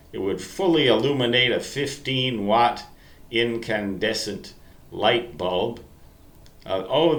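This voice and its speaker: A man in his sixties telling rambling radio-hobby reminiscences in a deliberate, emphatic way, wandering into asides. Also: medium pitch, fairly bright tone. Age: 60s. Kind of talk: rambling storytelling monologue to listeners. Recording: roomy, echoey sound.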